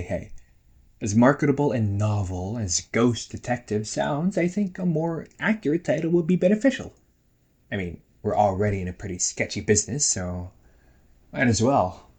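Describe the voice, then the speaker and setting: A man's voice: on the low side, darkish tone, husky, full character voice. A man playing a playful, nagging young ghost brother in a casual roleplay audio drama, teasing and chatty. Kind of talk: casual one-sided roleplay audio acting.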